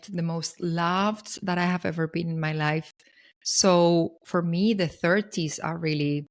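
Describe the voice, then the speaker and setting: Earnest woman in her thirties, lower-pitched. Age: 30s. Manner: earnest, emphatic, repeats phrases for emphasis. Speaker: podcast host. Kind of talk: casual reflective monologue.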